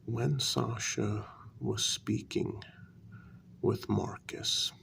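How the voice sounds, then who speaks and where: A man voicing a measured, insinuating vampire who serves his queen, in a soft-spoken, mostly whispered ASMR role-play with deliberate, drawn-out pauses. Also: lowish voice, steady tone, gravelly, a little hiss or hum, exaggerated voice. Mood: quietly insinuating.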